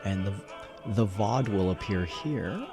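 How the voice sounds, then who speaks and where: A man's voice: fairly low pitch, lively intonation, takes it slowly, over music. A man hosting a casual gaming livestream, chatty and upbeat, often muttering to himself as he sets things up. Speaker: livestreamer and host. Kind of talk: casual gaming livestream chat while setting up.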